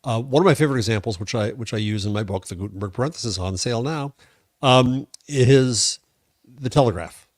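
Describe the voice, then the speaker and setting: An American man co-hosting a tech podcast, talking conversationally in an opinionated, deliberate and emphatic way. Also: medium pitch, no room sound, clean studio sound. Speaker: podcast co-host and commentator.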